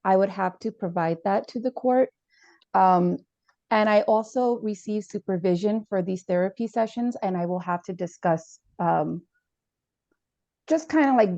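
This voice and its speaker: A formal, hesitant student therapist, played by a woman, filling her pauses with ums. Her voice is gravelly and mellow. The recording sounds dull and dry. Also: on the low side, no echo. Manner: hesitant, with many fillers, formal. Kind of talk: role-played counseling session.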